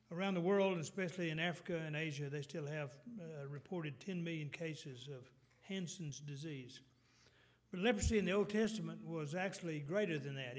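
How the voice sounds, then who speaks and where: A middle-aged man with a Texas accent preaches a sermon in a rambling way, full of restarts and fillers, and clears his throat now and then. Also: a little high. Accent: Texan.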